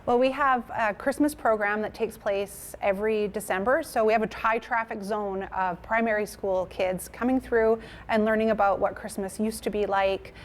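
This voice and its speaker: Woman, mid-pitched, explaining. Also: steady tone, moderate pace, hardly any pauses, slight background noise. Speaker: museum curator. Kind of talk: interview answers, explanatory. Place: indoors at a museum.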